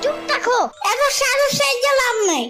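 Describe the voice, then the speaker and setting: A performer voicing a cheeky, bossy little boy in a comic cartoon, with a squeaky childlike voice. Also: animated, normal speed. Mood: cheeky, defiant. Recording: music behind the voice.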